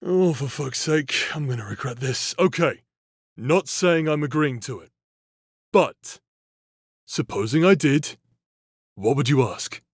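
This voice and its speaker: A man's voice: mid-range voice, animated, a little slow, pauses often, husky, no room sound, clean studio sound. A man voicing an impatient, sardonic sci-fi fighter in an audio roleplay, curt and exasperated in manner. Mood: guarded, irritated. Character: gruff, impatient, sarcastic male sci-fi fighter. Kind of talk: anime-style audio roleplay acting.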